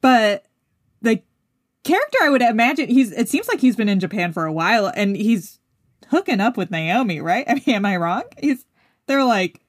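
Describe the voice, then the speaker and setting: A woman's voice: a little high, sing-song, normal speed, dry recording, studio quality. A woman co-hosting a podcast, recapping a TV show in a chatty way and teasing her co-host. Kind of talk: casual chat about a TV show.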